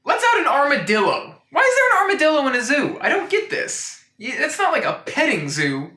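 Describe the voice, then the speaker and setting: A man hosting a comic storytime in a mock-serious, deadpan way, rambling into incredulous asides. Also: very high voice, brisk, reverberant. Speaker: host of a comic storytime show. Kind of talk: deadpan comedic picture book review.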